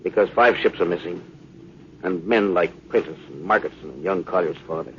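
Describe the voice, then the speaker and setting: A man's voice: low voice, fairly bright tone. A man acting a seasoned, authoritative middle-aged spaceship captain in a vintage radio drama. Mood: grim, insistent. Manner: brisk, authoritative. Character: seasoned, commanding male spaceship captain, weary but determined. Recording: muffled, noisy old radio recording.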